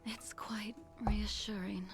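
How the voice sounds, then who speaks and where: A woman playing a calm, cryptic, world-weary swordswoman in a video game, speaking softly with a measured, formal poise. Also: mid-range voice, music in the background. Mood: calm, wistful.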